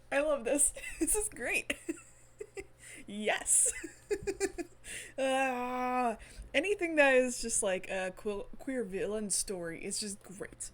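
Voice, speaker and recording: A woman's voice: mid-range voice, a little hiss or hum. A woman hosting a game stream, reading story text aloud dramatically with giggly, enthusiastic asides. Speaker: gaming channel host streaming a visual novel.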